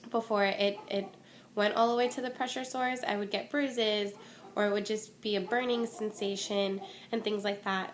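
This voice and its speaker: A young woman chatting candidly to camera from home in a casual vlog update, her voice a bit stuffy and worn from a cold. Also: mid-range voice. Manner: chatty and candid, many ums and restarts. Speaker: vlogger. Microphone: phone or webcam.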